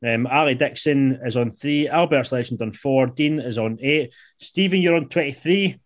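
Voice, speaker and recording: Brief: man, late twenties, podcast host, mid pitch, laid-back and unhurried, sweary. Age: 20s. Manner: laid-back, swears, reads riddles slowly. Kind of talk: casual chat.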